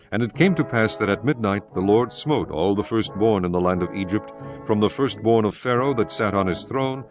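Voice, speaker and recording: A man narrating scripture from a script in a solemn, measured, formal way. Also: lowish voice. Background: music.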